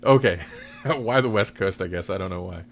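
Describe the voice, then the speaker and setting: A man's voice: deep voice, normal speed, fairly bright tone, a little hiss or hum. A man hosting a podcast in casual chat, rambling loosely as he searches for his words. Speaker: podcast host.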